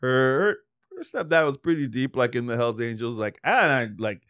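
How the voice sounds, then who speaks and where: A man's voice: medium pitch, animated, normal speed, a bit bright, no echo, studio quality. A man in his forties from Trinidad, hosting a loose casual chat, rambling with frequent restarts. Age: 40s. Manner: loose, rambling, swears and laughs often. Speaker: podcast host.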